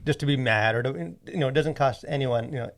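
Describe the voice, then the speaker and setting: A man talking as a podcast co-host in a casual, halting, rambling way full of verbal fillers. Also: mid-range voice. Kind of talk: casual conversational podcast discussion.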